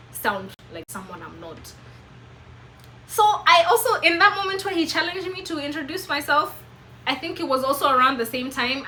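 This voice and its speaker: A woman's voice: a little high, bright, forceful tone, slight background noise, rough recording. A woman in her twenties with a Kenyan accent, telling a personal story to camera in an emphatic, preachy way. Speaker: vlogger.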